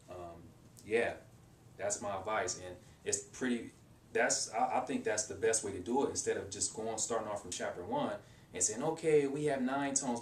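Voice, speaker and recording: A young man giving casual, rambling advice in a language-learning vlog, with many restarts and fillers. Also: recorded from a distance. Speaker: language-learning video maker. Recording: roomy echo, off-mic, faint rumble.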